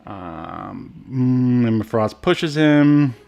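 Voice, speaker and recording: Halting, casual man talking movies. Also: mid-range voice, lively intonation, vocal fry, dark tone, lo-fi sound. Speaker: podcast co-host reviewing films. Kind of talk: casual film discussion.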